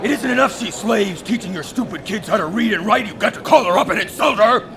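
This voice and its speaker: A man's voice: high-pitched, lively intonation, fast, crowd noise. An actor playing an angry husband who threatens a man in a bar in a radio play. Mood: menacing. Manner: gruff, threatening.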